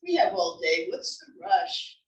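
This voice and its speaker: A woman's voice: mid-range voice, sing-song, a little slow. A woman reading the part of a stylish, teasing, affectionate wife in her seventies in a comedy table read. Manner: wry, teasing. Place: library room reading before an audience. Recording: echoey room, distant mic.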